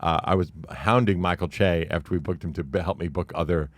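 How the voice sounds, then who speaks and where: A man's voice: low-pitched, brisk, no room sound, clean studio sound. A man in his late forties, a guest on a podcast, talking in a blunt, profane, brash storytelling way. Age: 40s. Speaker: podcast guest, comedy club owner. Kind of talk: casual podcast chat.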